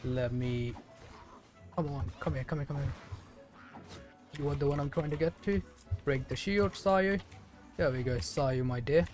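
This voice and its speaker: A male streamer with a medium-pitched voice talks over game music. He gives loose, casual commentary on his own play. His manner is chatty and playful, often addressing characters and foes directly as if they could hear him. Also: measured pace, long pauses, a little mellow. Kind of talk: casual live gameplay commentary.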